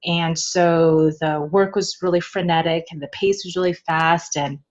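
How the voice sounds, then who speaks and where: A woman in her thirties telling her own story as a podcast guest, casual, animated and self-joking. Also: fairly low pitch, measured pace. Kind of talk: casual chat, personal storytelling. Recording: slightly dull, lo-fi audio.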